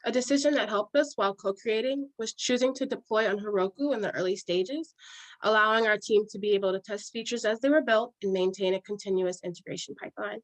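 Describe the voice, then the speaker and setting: Young new york woman reading her demo part, steady, dry close sound. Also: medium pitch, fast talker, dry recording, low-quality recording. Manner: steady, reads from a prepared script. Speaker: student presenter, scrum master. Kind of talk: scripted project presentation.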